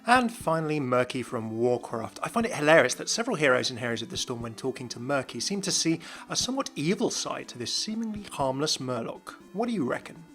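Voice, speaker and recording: A man's voice: medium pitch, big pitch swings, a little fast, hardly any pauses, a bit bright, soft background music. A man hosting a video, reading scripted explainer narration.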